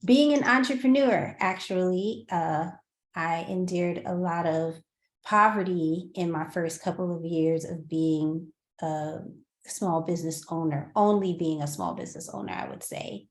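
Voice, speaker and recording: A woman speaks very slowly and thoughtfully as she shares her experience with an online group. Her voice is a bit low and sounds roomy and echoey, as if picked up from a bare room on a video call. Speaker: workshop participant.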